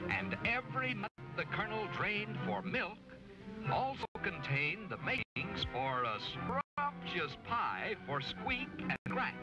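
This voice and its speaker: An actor playing a dramatic storyteller, narrating an old children's space adventure cartoon in a theatrical, deliberate way. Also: male voice, high-pitched, rough recording. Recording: old cartoon soundtrack, loud music.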